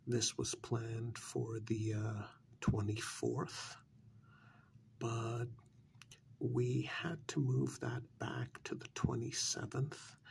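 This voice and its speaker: Man acting as obedient supernatural manservant, very creaky soft breathy voice, hesitant, apologetic, ASMR role-play, faint noise behind. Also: mid-range voice. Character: deferential, flustered supernatural manservant to a powerful mistress.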